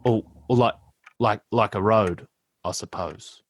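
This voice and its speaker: An actor in a radio play playing a laid-back young stoner philosopher who rambles and stumbles through slangy musings. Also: male voice, on the low side, measured pace, dry recording. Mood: earnest rambling.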